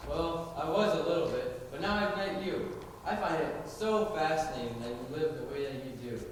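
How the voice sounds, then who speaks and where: Teen boy playing timid gentleman, distant and echoey. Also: fairly high pitch, normal speed, close to an everyday voice. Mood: admiring. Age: teens. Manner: earnest, polite, mild. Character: timid, admiring, well-mannered young gentleman. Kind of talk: high school stage play acting. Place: large indoor school performance space. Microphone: distant camera or room microphone. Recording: echoey, noisy hall, recorded from far off.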